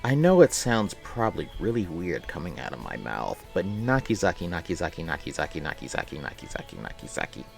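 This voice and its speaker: Guy streamer w a low voice, goes up and down a lot, playful rambly chat and reading out loud, faint music. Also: wildly swooping pitch, a little fast, creaky, few pauses. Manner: rambling, playful, chatting with viewers, repeats phrases. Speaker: live streamer. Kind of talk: casual livestream chat and reading a visual novel aloud.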